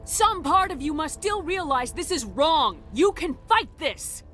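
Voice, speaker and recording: An actress playing a steadfast, commanding space commander hero in a video game cutscene. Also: female voice, sits high, big pitch swings, measured pace, sharp tone, soft background music, heavily acted. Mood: urgent, defiant. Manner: firm, commanding.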